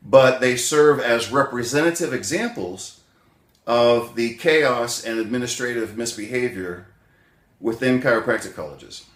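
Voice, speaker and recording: A man's voice: medium pitch, unhurried, a bit bright, roomy sound. A man recovering from bronchitis, a little wheezy, talking casually to his viewers in a rambling way, with many fillers and self-corrections. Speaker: chiropractic doctor and essay author. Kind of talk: casual spoken vlog, self-recorded.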